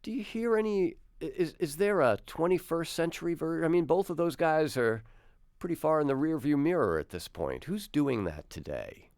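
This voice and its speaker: Radio host, a man with a smooth voice, curious and conversational, close-miked in a dead room. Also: on the high side, lively intonation, normal speed, a little mellow, no echo. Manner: curious, conversational, wordy questions. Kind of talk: radio interview. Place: radio studio. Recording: dry studio sound.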